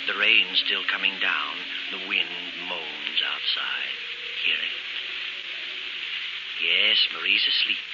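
An actor playing a sinister, gloating narrator, ominous and deliberate, in 1940s radio suspense style on an old recording. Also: male voice, low-pitched. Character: sinister gloating unseen storyteller, darkly mocking. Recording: dull 1940s radio recording, music behind.

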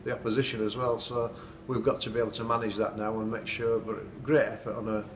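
A man's voice: on the low side, flat delivery, quick pace, recorded from a distance. A middle-aged man, a football manager giving a plain-spoken, earnest post-match interview indoors at night. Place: indoors at night, dim room. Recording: echoey room, off-mic, noisy.

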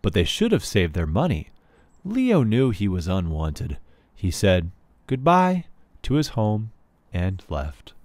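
Very animated man reading a storybook. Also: on the low side, takes it slowly, no room sound. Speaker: children's book reader. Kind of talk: storybook read-aloud narration.

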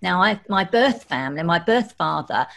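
A middle-aged woman from London hosts an interview over a video call, talking in an animated, chatty way. Her voice sits a little low and comes through a desk mic in a home room. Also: moderate pace, creaky. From London, England. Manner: animated, gestures while talking. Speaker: podcast host. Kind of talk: interview chat. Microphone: studio desk mic on stand.

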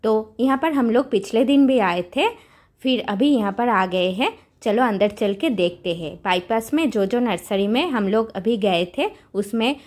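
A woman vlogger with an Indian accent talks casually to her viewers. Her voice sits at an average pitch for a woman. She is chatty and addresses the audience directly as she narrates. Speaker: vlog host.

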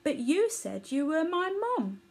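A woman's voice: high voice, big pitch swings, unhurried, darkish tone, pitched above the performer's own voice, full character voice. A woman voicing a curious, puzzled newborn boy elephant calf in a storybook, with an innocent, questioning manner.

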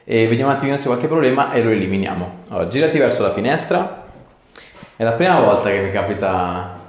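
A man's voice: fairly low pitch, animated, quick pace, roomy sound. A man in his thirties working as a chiropractor, chatting casually and giving short instructions to a patient in a small treatment room. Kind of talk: casual hands-on session talk with short instructions.

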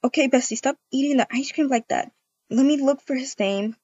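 A woman's voice: sits high, fairly quick, a little mellow, husky, highs cut off. A woman speaking casually as she improvises a game roleplay and chats to viewers. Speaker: video creator acting out a roleplay.

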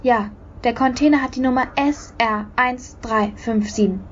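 An actress playing a hurried, nervous female accomplice in a radio drama. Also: a little high, muffled recording, a little hiss or hum, lightly acted. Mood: urgent.

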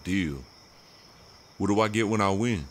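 Scruffy old betting drifter for horror audio drama, deep voice, slow drawl-free delivery over outdoor noise. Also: male voice, lively intonation. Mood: sly, casual. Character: scruffy arthritic old drifter who gambles.

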